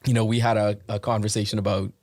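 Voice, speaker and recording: A man's voice: on the low side, level tone, quick pace, darkish tone, dry recording, studio quality. A man from the Bahamas hosting a casual podcast chat, asking careful, hedged questions and telling stories with laughs. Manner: thoughtful, hedging questions, laughs through stories. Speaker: podcast host.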